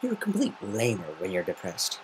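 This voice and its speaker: A brash female griffin, read by a man in a fan story. She talks bluntly and needles, with lively swings in a low voice and some noise behind it. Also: brisk, poor audio. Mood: gruff reassurance.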